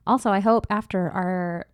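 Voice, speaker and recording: A woman's voice: medium pitch, smooth, no room sound. A woman in her thirties co-hosting a podcast, chatting candidly and laughing often. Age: 30s. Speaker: podcast co-host. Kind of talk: casual podcast chat.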